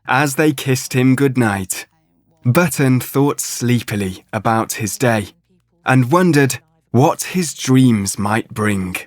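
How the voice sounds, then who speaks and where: A man narrating a children's bedtime story as a storyteller in a gentle, measured manner. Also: medium pitch, expressive, dry recording. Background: music.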